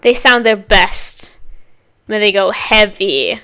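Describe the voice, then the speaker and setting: Woman reaction host, mid pitch, loose chatty delivery full of "like", gushing bursts. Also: animated, slow pace, sharp tone, smooth. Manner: chatty, rambling, many likes and you-know-what-I-means. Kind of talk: casual music reaction commentary.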